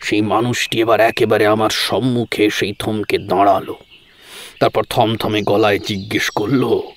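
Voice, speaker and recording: A man's voice: lowish voice, little pitch movement, normal speed. A man telling a scripted first-person story as a dramatic narrator, in a formal, literary and theatrical manner.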